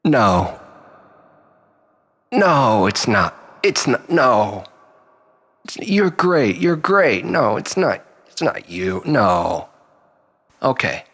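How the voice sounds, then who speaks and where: A man telling a rambling, melodramatic story as a mock-philosophical monologue, in a husky, gravelly voice with long echo. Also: mid-range voice, very animated, drawn-out words, pauses often, a bit bright. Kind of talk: dramatic comic monologue storytelling. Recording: long reverberant hall echo.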